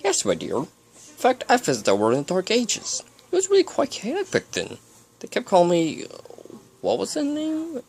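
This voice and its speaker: A mischievous male chaos creature from a storybook, played by a reader. The voice leaps high and swings dramatically. It is boastful and theatrical in its exclaiming. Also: huge pitch swings, moderate pace, creaky, darkish tone, slightly rough, full character voice. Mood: boastful, musing.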